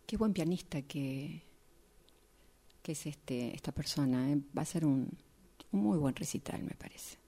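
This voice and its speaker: A woman's voice: deep voice, expressive, unhurried, mellow, heavily acted. A woman improvising a radio drama part as a sociable mother making tentative, awkward small talk. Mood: chatty, awkward. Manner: tentative, chatty. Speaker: radio drama workshop participant.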